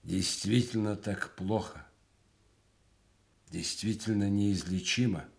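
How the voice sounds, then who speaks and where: An actor playing a general's loyal, concerned old friend, measured and courteous, in a Soviet radio play. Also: male voice, on the low side, gravelly.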